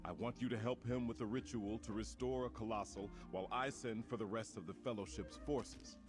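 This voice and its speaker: A man's voice: fairly low pitch, steady tone, brisk, lightly acted. A man voicing a confident, commanding warrior prince in a fantasy video game, speaking formally and rousingly. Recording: prominent music behind the voice. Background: game music.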